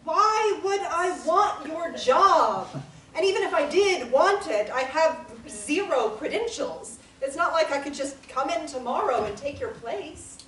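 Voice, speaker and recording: Wary, incredulous young woman, read by a woman, high and unhurried. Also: expressive, a bit bright, slight background noise. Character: wary young woman named Lily in group therapy. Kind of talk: table read of a play. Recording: roomy, distant mic.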